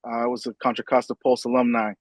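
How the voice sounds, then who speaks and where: Male reporter, mid-pitch, relaxed and humble. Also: fast talker, no echo. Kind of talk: guest introduction on radio.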